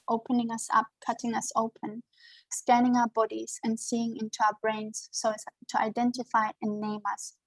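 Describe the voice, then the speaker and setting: A woman speaking English as a second language after German reads a prepared lecture in an earnest, measured way. Her voice sits a little high but keeps a very level, unvaried line. The sound is dry and close, coming over a video call from home. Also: dry recording, poor audio. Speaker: guest lecturer.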